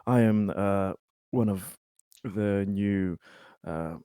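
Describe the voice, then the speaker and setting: A man playing a tabletop role-playing game, improvising in-character dialogue in a friendly, halting way. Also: lowish voice, mellow, clear, resonant tone, no room sound, studio quality.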